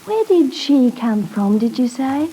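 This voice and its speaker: A high, girlish voice with a rural English accent, playing a timid country child in an old 1950s radio play. She is earnest, plain-spoken and deferential. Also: sing-song, a little slow, mellow, breathy edge, full character voice, poor audio. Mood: shy, curious. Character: shy young country girl, earnest and timid. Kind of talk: 1950s radio drama acting. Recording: 1950s radio broadcast recording.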